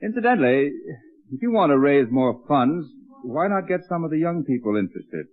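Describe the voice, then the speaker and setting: A man's voice: a little high, very animated, fairly quick, phone-quality sound. An actor playing a genial, reassuring middle-aged father in an old-time radio sitcom. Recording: thin, band-limited vintage radio recording.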